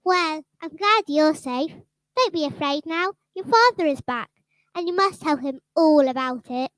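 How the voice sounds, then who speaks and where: Teeny kind mouse guy, squeaky high, gentle and soothing, radio play. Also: unhurried, dry recording. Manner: soothing, reassuring. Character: kindly little male mouse, gentle and caring. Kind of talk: children's radio drama.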